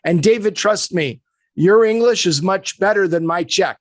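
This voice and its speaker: A middle-aged man hosting a podcast, asking a guest questions in a measured, friendly way. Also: high voice, no room sound, studio quality. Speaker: podcast host and player agent. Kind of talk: interview questions.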